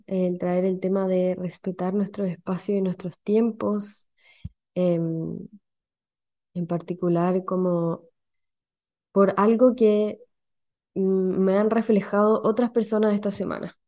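Slow, gentle Chilean woman podcaster. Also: mid-range voice, fairly even intonation. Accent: Chilean Spanish. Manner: slow, gentle and soothing, guides breathing. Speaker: podcast host. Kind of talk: calm reflective podcast monologue.

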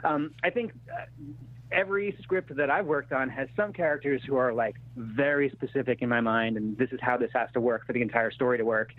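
A man's voice: medium pitch, a little fast. A man answering interview questions as an actor-writer in a candid, conversational way, often backtracking as he goes. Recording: thin phone-like radio sound.

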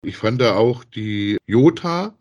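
A man's voice: mid-range voice, expressive, slow pace, a little mellow, muffled recording. A man co-hosting a podcast, chatting casually in a rambling, repetitive way as he reviews. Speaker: podcast co-host.

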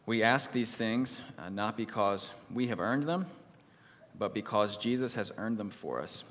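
A pastor reads a psalm in a measured, solemn voice, then prays and preaches a sermon to his congregation. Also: lowish voice, expressive, a bit bright, long reverb tail. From United States. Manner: measured, solemn reading, livelier when telling stories. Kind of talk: sermon with scripture reading and prayer.

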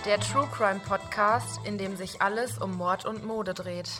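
A woman's voice: mid-range voice, fairly even intonation, a little slow. A woman reading a scripted podcast intro. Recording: voice over loud music. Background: intro music.

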